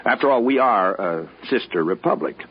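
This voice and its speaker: An actor playing an earnest, polite and persistent American self-styled diplomat, speaking formally and persuasively in a 1950s radio drama. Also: male voice, fairly low pitch, expressive, moderate pace, breathy edge. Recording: dull vintage radio recording.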